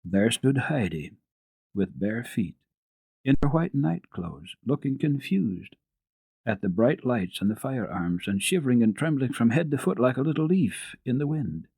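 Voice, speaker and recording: A man narrating a children's classic as a dramatic radio reading, deliberate and expressive in his storytelling. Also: mid-range voice, creaky, breathy edge, dry recording, poor audio.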